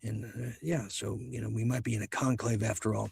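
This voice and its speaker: A man hosting a late-night talk show in a casual, halting, musing way, his voice husky and starting to give out. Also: on the low side, flat delivery, darkish tone, a little hiss or hum. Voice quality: husky, gravelly voice. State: losing his voice.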